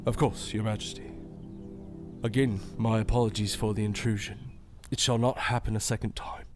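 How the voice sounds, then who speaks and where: An actor playing a young, wary sheriff in a fantasy audio drama, formal and grave. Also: male voice, on the low side, fairly even intonation, moderate pace, music in the background, lightly acted. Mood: deferential.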